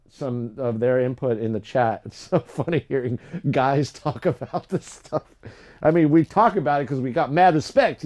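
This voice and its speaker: A young adult man hosting a livestream, chatting casually and rambling with lots of fillers and restarts, his voice low today. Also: darkish tone. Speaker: live stream host.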